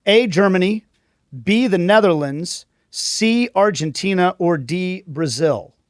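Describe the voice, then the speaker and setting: A man hosting a podcast, running a casual sports trivia quiz and introducing a guest in a quick, upbeat way. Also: high-pitched, lively intonation, no echo, clean studio sound. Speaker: podcast host.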